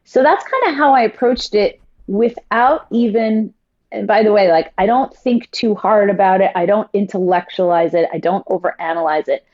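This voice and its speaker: Woman, stage actress, effusive, rapid asides, dull recording. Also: mid-range voice. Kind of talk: casual interview chat.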